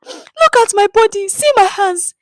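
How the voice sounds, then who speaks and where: Woman acting out a high, wailing, pleading woman. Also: animated, measured pace, fairly bright tone, no room sound, exaggerated voice. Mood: tearful accusing. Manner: tearful, pleading. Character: pregnant con woman, wailing and accusing loudly. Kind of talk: dramatic storytelling dialogue.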